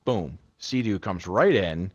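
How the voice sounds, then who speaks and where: A man's voice: low-pitched, lively intonation, slow, husky, no room sound, highs cut off. A young man hosting a podcast, talking in a laid-back, rambling way and swearing freely. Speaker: podcast host. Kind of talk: casual chat.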